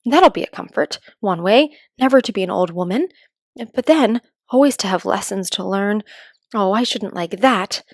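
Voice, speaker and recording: A woman's voice: medium pitch, lively intonation, moderate pace, no room sound, studio quality. A woman voicing a curious, prim, dreamy little girl in a children's storybook, musing aloud to herself. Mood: pondering.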